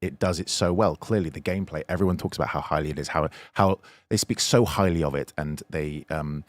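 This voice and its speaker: A man's voice: very deep voice, brisk, no echo. A man from the UK co-hosting a podcast, chatting casually with emphatic repetition for stress. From United Kingdom. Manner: emphatic, repeats words for stress. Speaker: podcast co-host. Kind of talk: casual opinionated chat.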